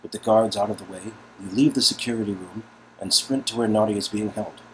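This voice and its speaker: A man's voice: lowish voice, a little fast, a bit bright, slight background noise, rough recording. A man reading scripted story narration as the narrator of an interactive game.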